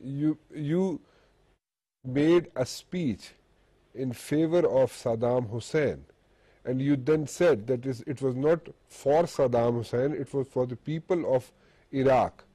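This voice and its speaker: Man, interviewer, dark mellow voice, hesitant halting questions, dry sound. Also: medium pitch, breathy edge, no echo.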